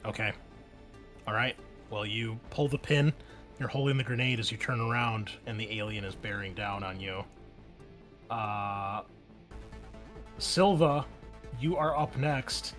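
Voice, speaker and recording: A man's voice: fairly low pitch, a little slow, pauses often, a bit bright. Guy game mastering a tabletop rpg, explains rules and sets scenes, music playing underneath. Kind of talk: running a tabletop roleplaying game, improvised narration and rules talk.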